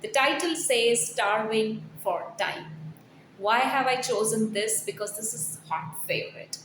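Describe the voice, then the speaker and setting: A roomy, echoing recording carries a woman's fairly high voice. She presents like a corporate trainer, reading prepared text aloud and urging the listener on with earnest emphasis. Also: a little slow, fairly bright tone. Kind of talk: scripted blog read aloud with personal asides. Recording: roomy echo, faint background noise.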